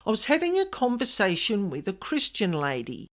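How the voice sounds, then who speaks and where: Female podcast host. Expressive, wide-ranging intonation, conversational solo delivery, emphatic and straight to the listener. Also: mid-range voice, normal speed. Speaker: podcast host and paranormal specialist.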